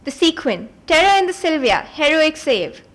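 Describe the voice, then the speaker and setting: A woman reading a fantasy novel aloud at a book event, in an expressive storybook manner with the odd stumble over words. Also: sits high, moderate pace.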